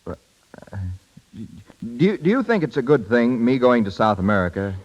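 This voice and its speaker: An actor playing an eager, bashful young suitor in a 1930s radio drama, boyish and slangy, with halting speech. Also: male voice, mid-range voice, sing-song. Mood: hesitant, tender. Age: young adult. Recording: muffled 1930s radio broadcast with music.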